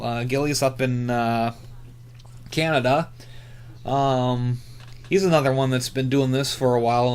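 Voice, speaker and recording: A man chatting casually and unscripted as a hobby YouTuber, laid-back and rambling, with halting, filler-heavy phrasing. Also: medium pitch, a little hiss or hum. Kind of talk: casual unscripted chat, reviewing channels.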